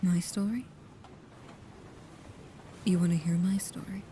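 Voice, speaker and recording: Over clearly audible background noise comes a woman acting as a female vampire protector in an ASMR roleplay. Her voice is on the low side, soft and intimate, often sinking to a whisper. She sounds devoted and proud yet guarded, and speaks in slow, halting phrases. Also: steady tone. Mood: tender, guarded.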